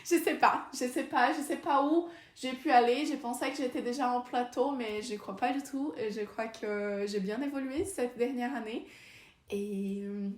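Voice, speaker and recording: A woman's voice: fairly high pitch, a little slow. A young woman with a Brazilian Portuguese accent, a native Portuguese speaker, talking casually to camera in French, hesitant, with many fillers and restarts. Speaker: vlogger. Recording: echoey room.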